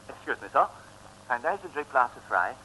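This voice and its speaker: An actor playing a courteous, formal English gentleman in an old radio comedy, with an English accent and English phrases dropped in. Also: medium pitch, very animated, normal speed. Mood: polite. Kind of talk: 1950s-60s Dutch radio comedy acting. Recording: noisy old radio recording.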